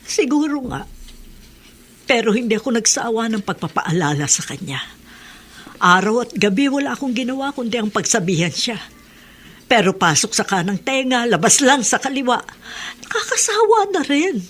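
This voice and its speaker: An actress with a Filipino accent playing a stern, unyielding middle-aged mother in a radio drama, firm and reproachful, with a husky voice. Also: fairly high pitch, big pitch swings, moderate pace. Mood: weary, defensive. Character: stern, unyielding mother of two grown sons.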